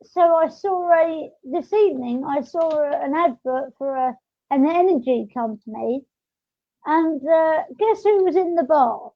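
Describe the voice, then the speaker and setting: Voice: sits high, unhurried, no room sound. A podcast host chatting casually in a hesitant, rambling way. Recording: muffled lo-fi audio.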